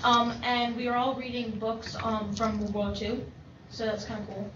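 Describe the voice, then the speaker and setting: A young female student speaks casually and unsurely, with a fairly high voice. She sounds far from the microphone in an echoey room on a video call. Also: level tone, moderate pace, noisy background. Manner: hesitant, unsure. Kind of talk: casual, unprepared introduction.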